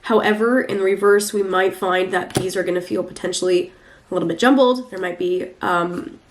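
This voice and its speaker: A woman's voice: fairly low pitch, fairly even intonation, moderate pace, a little hiss or hum. Nasal, congested woman reading tarot. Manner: chatty, rambling, many fillers. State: congested from the flu. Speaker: tarot reader. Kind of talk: casual weekly tarot reading.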